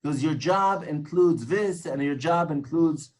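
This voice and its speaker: A man's voice: a little high, measured pace, darkish tone. Man lecturing, earnest and reflective. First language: Hebrew. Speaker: tour guide instructor. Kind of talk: informal lecture on guiding.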